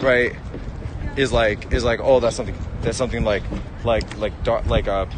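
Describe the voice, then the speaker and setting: A man hosts a casual chat in a medium-pitched voice. He teases lightly and keeps prompting with questions. The recording is noisy, with an outdoor city sound behind him. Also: fairly even intonation, moderate pace. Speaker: host. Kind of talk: casual chat with his wife and viewers.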